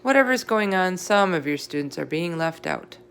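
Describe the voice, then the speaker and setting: A woman's voice: on the low side, animated, normal speed, a little hiss or hum. A woman voicing a stern, insistent female gym teacher in a children's storybook. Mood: complaining.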